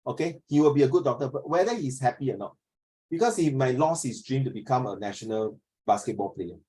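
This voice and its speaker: A man's voice: mid-range voice, lively intonation, fairly quick, mellow, breathy edge, poor audio. A man in his fifties with a Singaporean accent, teaching an informal class discussion, often repeating himself and checking with questions. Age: 50s.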